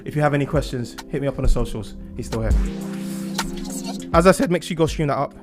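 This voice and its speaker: Man interviewing over clear background music. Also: medium pitch, quick pace. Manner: informal, slangy, affirming. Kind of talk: casual interview chat.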